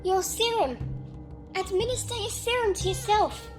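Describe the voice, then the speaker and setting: Tiny microscopic damsel, piercingly high voice, pleading, melodramatic audio drama, prominent music behind. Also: female voice, normal speed. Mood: urgent, entreating. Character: tiny, beautiful, pleading young woman from microscopic world.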